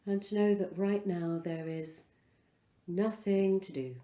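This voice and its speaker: A woman leading a guided relaxation as a yoga instructor, speaking in an unhurried, soothing way with pauses between phrases. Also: medium pitch, steady tone, mellow, roomy sound.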